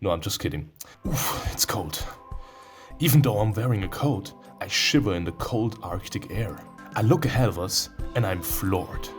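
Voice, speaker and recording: Male gaming host, low voice with big swings in pitch, theatrical and playful, reads story text and comments over faint music. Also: lively intonation, normal speed. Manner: playful, theatrical, prone to sudden outbursts. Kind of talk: let's play commentary mixed with reading visual novel text aloud.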